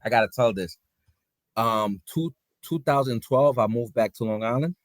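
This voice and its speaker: A man hosting a podcast, telling a story in relaxed casual slang among friends. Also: medium pitch, normal speed, dry recording, studio quality. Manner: laid-back slangy storytelling. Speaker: podcast host. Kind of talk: casual chat.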